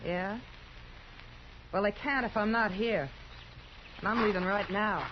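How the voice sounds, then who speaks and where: An actress playing a brazen, scheming American frontier wife in a radio western, with a dramatic, sharp-tongued, wheedling delivery. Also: female voice, medium pitch, a little slow, close to an everyday voice. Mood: scornful, defiant. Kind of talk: 1950s radio western acting. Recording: muffled, noisy 1950s radio recording.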